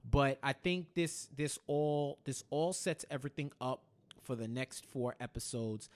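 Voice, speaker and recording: A man's voice: medium pitch, fairly even intonation, unhurried, a little mellow. A man co-hosting a casual TV recap, retelling scenes in quoted dialogue and repeating phrases for emphasis. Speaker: podcast host.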